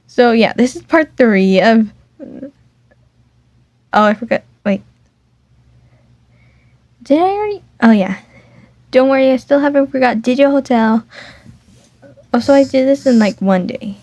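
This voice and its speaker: Woman reading a fan dating sim, keeps tripping over words, slow, dull dry mic. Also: on the high side, animated, dark tone, no echo. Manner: rambling, self-interrupting, stumbles over words. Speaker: fan game reader.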